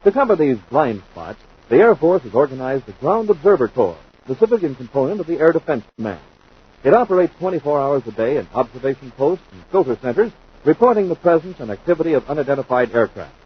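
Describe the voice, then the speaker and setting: A man's voice: fairly high pitch, slightly rough. An American man announcing from a script in 1950s radio style. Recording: dull 1950s radio recording.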